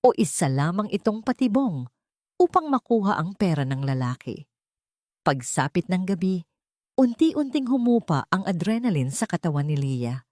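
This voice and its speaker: Woman narrating a drama, lowish dry voice. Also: a little mellow, no echo. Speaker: storyteller. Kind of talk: scripted dramatic story narration.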